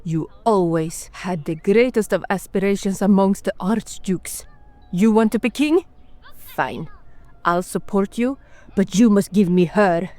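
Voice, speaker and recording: A woman's voice: mid-range voice, unhurried, warm tone, no room sound, natural voice. A woman playing a dramatic, obsessive vampire noblewoman in a fantasy roleplay, theatrical and emphatic in manner. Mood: grave, bargaining.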